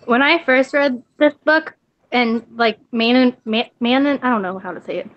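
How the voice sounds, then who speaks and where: A woman's voice: fairly high pitch, normal speed. A woman chatting casually in a book club, with a hesitant, rambling way of talking. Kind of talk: casual chat. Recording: dull lo-fi call audio.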